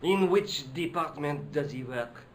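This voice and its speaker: An actor voicing a curt, officious hospital administrator who is bound to the rules. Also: male voice, a little high, lively intonation, a little slow, a little hiss or hum. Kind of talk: video game voice acting.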